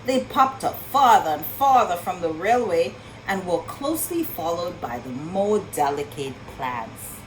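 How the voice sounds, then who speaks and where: Woman reading slowly and expressively to children. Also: fairly low pitch, very animated, a little hiss or hum, low-quality recording. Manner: asks questions and marvels at pictures, speaking to children. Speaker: storyteller reading a picture book aloud. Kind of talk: expressive children's read-aloud with asides to young listeners.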